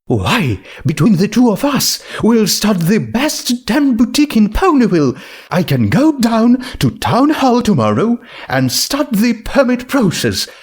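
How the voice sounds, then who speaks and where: Male reader as refined female pony fashionista: high, swooping, gushing, theatrical and very sure of herself. Storybook reading. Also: husky, exaggerated voice. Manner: posh, dramatic, calls people darling. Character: elegant, theatrical young female pony dressmaker, gushing and self-assured.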